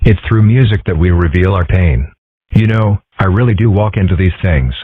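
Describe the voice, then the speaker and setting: A man's voice: low voice, moderate pace, breathy edge. A man voicing an earnest, philosophical young male fox in a comic dub, with a thoughtful, dry, articulate manner. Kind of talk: comic strip dub, character acting. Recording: muffled, dull, dry audio.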